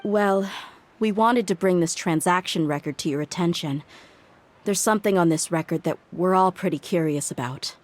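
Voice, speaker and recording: A woman's voice: on the low side, normal speed, close to an everyday voice. A woman playing a blunt young mercenary. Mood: firm. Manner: direct, firm, plainspoken. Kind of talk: game cutscene voice acting.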